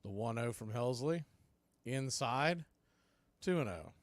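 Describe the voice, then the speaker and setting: A man's voice: mid-range voice, expressive, slow pace, dry recording. An American man calling live baseball play-by-play in a folksy, partisan home-team style. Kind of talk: live radio baseball commentary.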